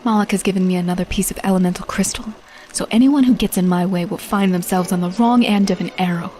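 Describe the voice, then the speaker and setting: A woman playing a determined female thief, narrating a game cutscene in a measured, confiding, resolute way. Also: fairly low pitch, a little mellow, lightly acted. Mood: determined, planning. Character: determined female thief narrating her stealth rescue mission. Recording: music under the voice. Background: background music.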